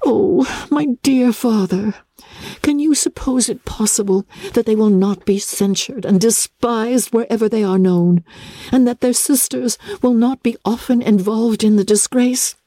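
Earnest young gentlewoman, played by a woman narrator: raised pitch, indignant, urgently emphatic, storybook drama. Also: unhurried, no echo. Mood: distressed pleading. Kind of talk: dramatic classic novel reading.

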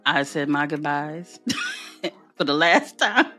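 Woman guest, lower pitch, bright tone, soft music under, candid and colloquial. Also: lively intonation, slow pace. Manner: candid, colloquial, laughing, preachy emphasis. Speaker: podcast guest, entrepreneur. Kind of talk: casual storytelling chat.

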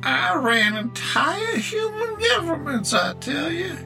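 An elderly retired algorithm complains in a parody commercial. The voice is high, creaky and slow, full of plaintive indignation. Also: female voice, sing-song, over music, exaggerated voice. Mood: indignant.

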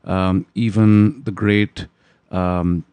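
Guy in his 30s, mellow tone, dry close mic, thoughtful and pausing a lot. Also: sits low, fairly even intonation, clear, resonant tone, no echo. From Pakistan. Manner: articulate and measured, pausing with many ums. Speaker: guest. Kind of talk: reflective interview answers.

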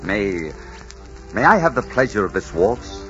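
An actor playing a dashing, suave gentleman highwayman in a 1940s radio romance, with courtly, ardent delivery. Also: very animated, unhurried. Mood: suave, teasing. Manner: suave, courtly, murmuring sweet declarations. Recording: dull 1940s radio recording with music.

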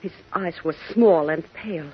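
A woman's voice: low voice, normal speed, lightly acted. A woman acting in a theatrical 1940s radio drama as an adventure-serial heroine. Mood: solemn. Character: two women: brisk reporter and solemn archaic princess. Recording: muffled vintage radio recording.

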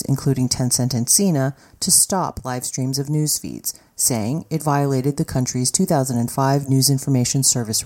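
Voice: medium pitch, darkish tone. A news reader narrating a scripted story in formal broadcast copy.

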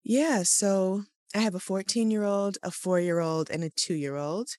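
A woman, co-hosting a casual morning podcast chat in a relaxed, meandering way, her voice still sleepy. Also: medium pitch, a little slow, dry recording, studio quality. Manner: relaxed, laughs often, meandering. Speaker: podcast co-host.

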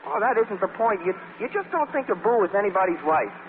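A man's voice: sits high, big pitch swings, quick pace. An actor playing a blunt, bewildered young man who speaks in curt, baffled bursts in a 1940s radio play. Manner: curt, baffled, repeats short words. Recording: tinny old radio broadcast with hiss.

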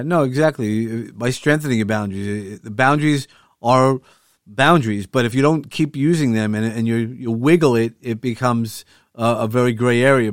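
Halting male interviewer, dry sound. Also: medium pitch, no room sound. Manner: affirming, halting with many restarts. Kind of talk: interview questions.

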